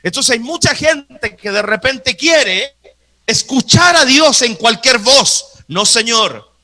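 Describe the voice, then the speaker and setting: Male preacher, very high bright voice, emphatic sermon delivery that hammers repeated phrases. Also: expressive, slow pace. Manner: acts out Bible dialogue. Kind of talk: sermon to a live congregation.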